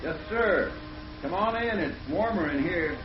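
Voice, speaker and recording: An actor playing a tired, amiable, seedy lawyer of about forty in an old American radio drama, folksy and rambling. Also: male voice, high-pitched, lively intonation, measured pace, soft background music. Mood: weary, welcoming. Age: 40s. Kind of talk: 1950s radio drama acting. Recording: muffled, noisy vintage radio recording.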